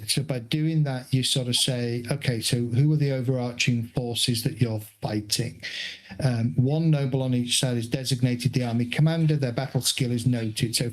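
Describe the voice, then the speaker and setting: A man running a tabletop game as game master over an online call, explaining rules in a measured way with pauses and fillers. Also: mid-range voice, poor audio.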